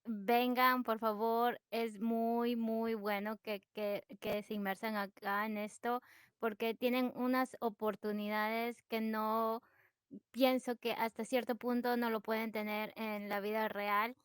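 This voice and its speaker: A woman speaks as a podcast guest, bubbly and eager, laughing often. Her voice is fairly high and smooth. The sound is clean and dry. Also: little pitch movement, normal speed, dry recording. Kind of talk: casual chat.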